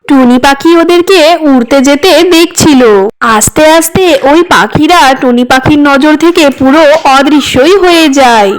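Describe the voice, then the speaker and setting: A woman's voice: high voice, normal speed, low-quality recording. A woman narrating a scripted children's story.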